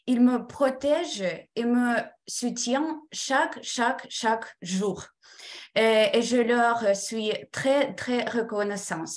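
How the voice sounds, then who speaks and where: Slow, higher-pitched Ukrainian woman. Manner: halting, careful non-native French. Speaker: former trainee giving a testimonial.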